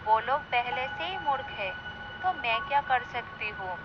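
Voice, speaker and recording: A sly, conceited vixen acted by a woman from an animated fable, theatrical in delivery. The voice is high-pitched. It comes through a muffled recording with clear noise behind it. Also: moderate pace, natural voice. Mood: smug. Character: cunning, conceited female fox, mocking and self-justifying. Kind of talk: animated moral story dialogue. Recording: dull lo-fi sound with clear noise.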